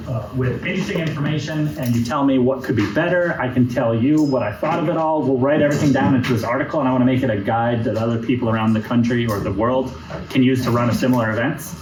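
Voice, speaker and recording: A man's voice: mid-range voice, fast. A man runs a hands-on workshop, speaking easily to the gathered group and dotting his talk with ums and uhs. The room rings with echo, and a crowd murmurs behind him. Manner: relaxed, lots of ums and uhs. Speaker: event organizer. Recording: echoey room with crowd chatter.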